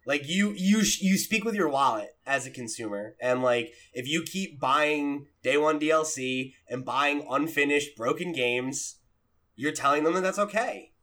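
A man's voice: on the high side, normal speed, a bit bright. A man hosting a podcast, reasoning aloud in casual, informal discussion. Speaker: podcast host.

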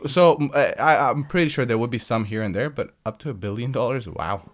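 A man's voice: medium pitch, a little fast. A man guesting on a podcast, explaining at length in casual chat, restarting sentences and leaning on fillers.

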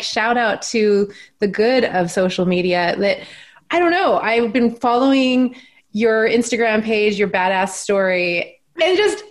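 A woman hosting a podcast interview, chatting casually, laughing often and using plenty of fillers. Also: on the high side. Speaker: podcast host.

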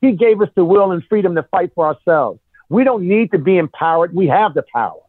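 A man with a fairly high voice and very lively intonation talks in an impassioned, conversational way. He is emphatic and rhetorical, repeating phrases for effect. The recording is dull and lo-fi, like radio. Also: brisk, no room sound.

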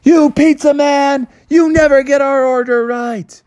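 A storyteller voicing a gang of hungry, angry scorpions in a very high, whiny voice. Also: expressive, normal speed, a bit bright, no room sound, clean studio sound, full character voice. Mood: scolding. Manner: squeaky, whiny and demanding.

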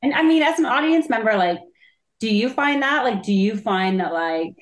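A woman from Canada with a Canadian accent, a stand-up comedian chatting casually in an interview, with a loud voice and lots of filler words. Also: fairly high pitch, expressive, normal speed, reverberant. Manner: chatty, many "like" fillers, trails off.

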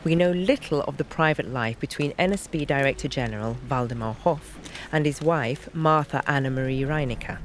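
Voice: medium pitch, a little hiss or hum. A narrator reading scripted, documentary-style historical narration for an audio drama.

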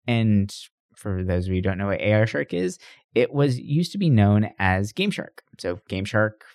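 A man's voice: fairly low pitch, very animated, moderate pace, darkish tone, no echo, clean studio sound. A man hosting a podcast, talking through gaming news in a casual, conversational way and often tagging on questions to check agreement. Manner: conversational, rhetorical tag questions. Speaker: podcast host.

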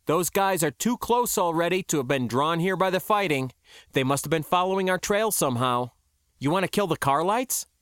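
A man's voice: fairly high pitch, lively intonation, moderate pace, a bit bright, clean tone, no echo, studio quality, voice pushed up. A man voicing a defiant, sarcastic adventurer who speaks with biting emphasis in an audiobook. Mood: sarcastic, urgent. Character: defiant, sarcastic first-person adventurer under pressure.

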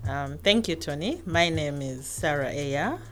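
A woman in her forties from northern Uganda, a guest answering interview questions in a calm, measured way. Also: deep voice, faint music behind. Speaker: podcast guest, academic.

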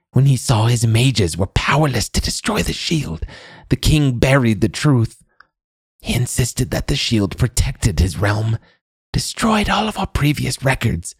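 A man voicing a hunched, eager and bitter old woman, an outcast mage in a fantasy story, with a croaking, breathy voice. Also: medium pitch, no room sound, voice pushed up, heavily acted. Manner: halting fragments, then eager outpouring.

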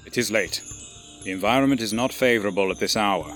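A man's voice: on the low side, brisk, fairly bright tone, soft background music, lightly acted. A man playing a stoic, disciplined alien warrior in an audio drama, speaking in terse, formal phrases. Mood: stern, impatient. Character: male Eldar warrior, stoic, disciplined, impatient but loyal.

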